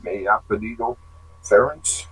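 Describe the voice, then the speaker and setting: A man in his sixties with a Canadian English accent, a native English speaker doing a beginner oral exercise haltingly. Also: fairly low pitch, slightly rough, rough recording. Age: 60s. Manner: halting, searching for words. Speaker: beginner Spanish student.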